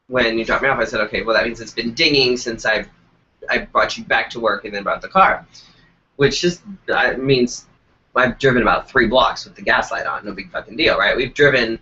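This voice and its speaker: Stuffy-nosed man telling a story. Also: medium pitch. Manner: profane, animated storytelling. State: stuffy, congested. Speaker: co-host. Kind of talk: casual live web show chat. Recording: dull lo-fi web stream.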